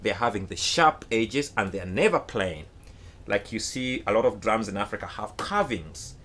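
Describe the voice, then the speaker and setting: A man's voice: on the low side, moderate pace. A young adult man from Uganda, a native Luganda speaker, chatting casually in a home basement studio and animatedly retelling a story. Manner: animated, acts out his own quoted reactions. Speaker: guest musician.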